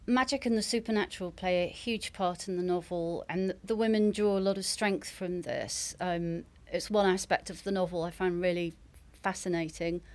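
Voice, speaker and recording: A woman's voice: lowish voice, normal speed, few pauses. A woman interviewing in a conversational manner, as on a book programme.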